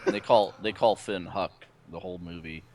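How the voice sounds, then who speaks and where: A man's voice: sits low, moderate pace. A man wisecracking in casual chat as a podcast panelist on an online voice call. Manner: jokey, wisecracking. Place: remote online group voice call. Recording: clearly noisy voice-chat audio.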